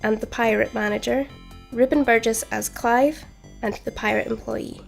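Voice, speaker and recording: A woman's voice: fairly high pitch, fairly even intonation, normal speed, vocal fry, soft background music. A woman reading scripted end credits as an announcer for a radio show. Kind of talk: scripted narration.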